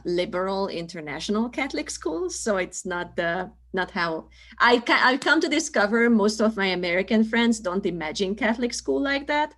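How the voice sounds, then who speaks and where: Guest: Hungarian-accented woman storyteller in her thirties, lowish voice, thoughtful and chatty. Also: fairly bright tone. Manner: thoughtful, laughs often, many fillers. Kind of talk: conversational interview.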